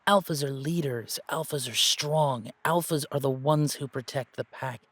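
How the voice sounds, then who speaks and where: A man acting an earnest werewolf pack member in an audio drama, reasoning aloud in an emphatic, halting, explanatory way. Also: medium pitch, a bit bright, no echo, lightly acted. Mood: realizing, explanatory. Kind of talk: dramatic audio roleplay dialogue.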